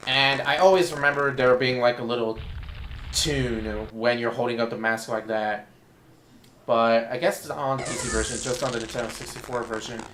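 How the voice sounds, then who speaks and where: Hoarse man commentating a game. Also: mid-range voice, steady tone, moderate pace, fairly bright tone, echoey room, slight background noise, rough recording. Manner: rambling, thinks aloud while playing. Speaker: let's play commentator. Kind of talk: casual gameplay commentary. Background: game music.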